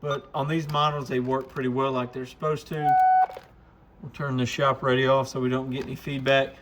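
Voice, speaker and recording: A man's voice: mid-range voice, level tone, moderate pace, noisy background. A man chatting in an informal, folksy way as he gives a casual product demonstration like a shop presenter.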